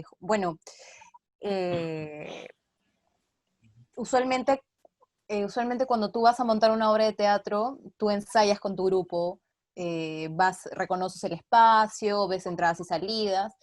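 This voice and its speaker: A woman's voice: medium pitch, darkish tone, dry recording. Woman explaining things casually, dry sound. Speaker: radio theatre actress being interviewed. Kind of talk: casual explanatory interview talk.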